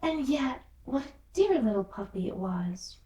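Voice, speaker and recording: A woman's voice: on the high side, sing-song, a little slow, warm tone, voice pushed up. A woman voicing a polite, puzzled little girl in a fairy tale, speaking earnestly with some hesitation. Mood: musing. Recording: roomy echo, distant from mic.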